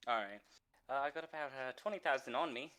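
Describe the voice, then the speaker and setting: A hesitant would-be tavern owner , played by a man in his own voice, stumbles through her answers. The voice is bright and lively in pitch. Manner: hesitant, halting in character. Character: young woman seeking to buy a tavern.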